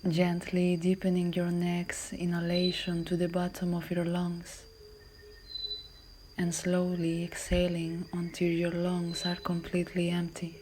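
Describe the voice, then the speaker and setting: A woman yoga instructor guiding a class in a calm, measured, soothing voice that is soft and at times breathy. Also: fairly low pitch, slight background noise. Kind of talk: guided yoga and relaxation instruction.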